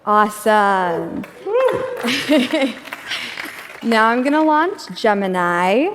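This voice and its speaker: A woman leads a live demo on an auditorium stage, chatty and upbeat. Her voice is fairly high and she talks at an easy, unhurried pace. The big room adds a long reverb. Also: big pitch swings, noisy background. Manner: upbeat, chatty, laughs often, some fillers. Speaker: demo presenter. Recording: echoey hall reverb.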